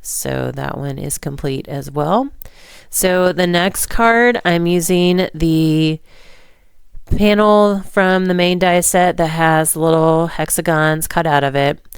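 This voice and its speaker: A woman narrating a card-making tutorial in relaxed, rambling steps, hoarse and stuffy from a cold. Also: low voice, slow, no echo, studio quality. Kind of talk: voice-over craft tutorial.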